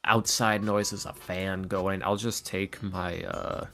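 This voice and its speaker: Very deep, gravelly man chatting. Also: flat delivery, slow, a bit bright, soft background music. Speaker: podcast guest, ASMR roleplay creator. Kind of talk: casual interview chat.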